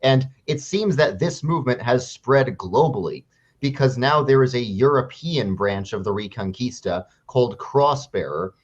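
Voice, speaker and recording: An upbeat man hosts an online interview, introducing his guests and affirming what they say. He speaks at a middle pitch, and the recording sounds slightly dull and lo-fi. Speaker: YouTube host.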